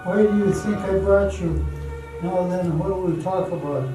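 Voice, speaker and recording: A man's voice: high-pitched, moderate pace, natural voice. A man acting as an eager, nervous suitor in an amateur radio drama, earnest and hesitant. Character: eager, nervous young suitor courting a woman. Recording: very echoey hall from far off, music.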